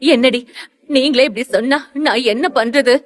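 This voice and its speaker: A woman's voice: on the high side, normal speed, long reverb tail, pitched above the performer's own voice, natural voice. A woman playing an anxious young bride in an audio story, pleading and complaining about a wedding she dreads. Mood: upset, pleading.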